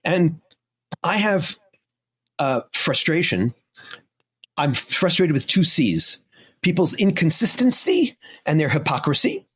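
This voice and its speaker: A middle-aged man with a husky, fairly high voice, speaking with conviction and stacking up his points one after another. He talks emphatically as an interview guest. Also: big pitch swings, slow pace, pauses often, a bit bright. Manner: emphatic, impassioned, lists points. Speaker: historian guest. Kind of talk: interview answer.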